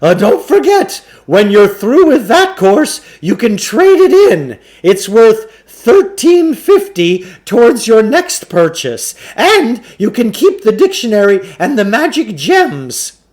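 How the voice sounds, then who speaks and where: Guy doing a folksy huckster shopkeeper from a kids book, high voice, loud eager sales patter. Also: big pitch swings, slow, few pauses, full character voice. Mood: calling out eagerly. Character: chatty, folksy, eager male huckster shopkeeper, selling gadgets. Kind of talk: children's storybook character voice.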